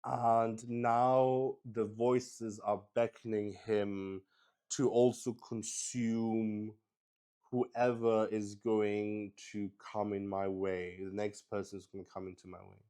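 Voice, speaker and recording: A man's voice: fairly low pitch, little pitch movement, takes it slowly, warm tone, clear, resonant tone. A man improvising narration for a solo tabletop roleplaying game, thinking aloud hesitantly and often repeating his words. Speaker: solo roleplay host and player.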